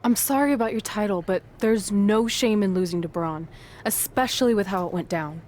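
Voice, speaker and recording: A woman's voice: mid-range voice, normal speed, a little mellow, faint music behind, close to an everyday voice. A woman playing a supportive, independent young female wrestler in a video game cutscene. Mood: consoling.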